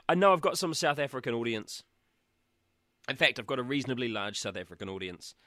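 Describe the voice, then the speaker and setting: A man's voice: fairly low pitch, pushed and bright, no echo, clean studio sound. A man with a New Zealand accent hosting his own radio show in casual chat, cheeky and jokey, with a sore throat and blocked nose. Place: small studio room at back of a shed.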